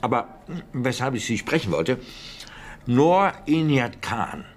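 An actor playing a brash, exasperated British wartime intelligence officer in a German radio drama, speaking bluntly and emphatically with hesitant asides. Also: male voice, mid-range voice, very animated, takes it slowly, slight background noise. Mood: businesslike.

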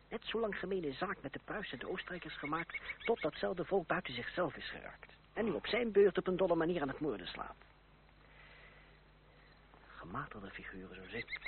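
Shrewd young fugitive, measured delivery, self-assured, detached, tinny old radio play with faint noise. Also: male voice, on the high side. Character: shrewd, detached young nephew, former revolutionary on the run. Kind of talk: radio drama acting.

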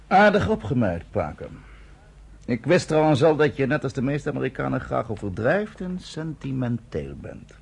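A condescending British officer in a radio drama. His raspy voice rises and falls widely in pitch, and he delivers every line with a smug, mocking air. The overall effect is arrogant and superior. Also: big pitch swings, normal speed, heavily acted.

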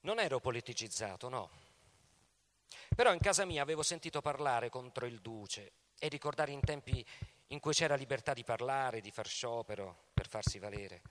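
A man's voice: mid-range voice, normal speed, a bit bright, natural voice. An actor playing a plainspoken former partisan reflecting on his youth in a radio theatre testimony. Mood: reflective, subdued.

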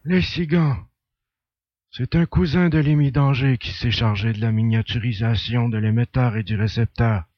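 A man's voice: mid-range voice, moderate pace, dry recording, muffled recording, lightly acted. A man playing a confident, ironic hunter who explains things with assurance in an audio drama. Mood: assured, explaining. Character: confident male hunter with alien blood brother, ironic.

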